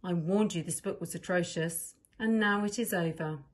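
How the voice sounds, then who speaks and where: A woman narrating a children's storybook as a reader, with expressive delivery. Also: on the low side, moderate pace.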